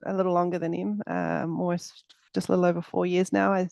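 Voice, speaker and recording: A woman's voice: on the low side, level tone, fairly quick, gravelly, a little mellow, no echo, lo-fi sound. A middle-aged woman with a New Zealand accent, introducing herself as a competition judge in a chatty, friendly way on a video call. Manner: friendly, chatty, with frequent fillers. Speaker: competition judge, business executive.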